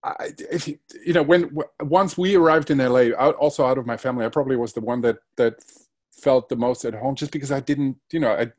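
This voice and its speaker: A man's voice: mid-range voice, fairly quick, a little mellow, dry recording. Hesitant man, dry sound. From Germany. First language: Spanish. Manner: hesitant, with frequent restarts. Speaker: guest. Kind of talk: reflective interview conversation.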